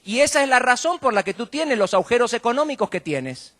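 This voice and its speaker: A man's voice: very high-pitched, very animated, fairly quick, a bit bright. A man from Argentina with an Argentine accent preaching in a conversational, colloquial way, often tagging statements with rhetorical questions. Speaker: guest preacher. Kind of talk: conversational sermon with jokes.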